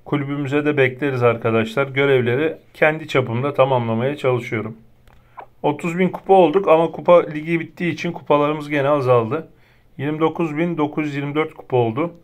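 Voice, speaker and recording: A man's voice: a little high, fairly quick. A man giving casual gameplay commentary as a gaming video creator. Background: game music.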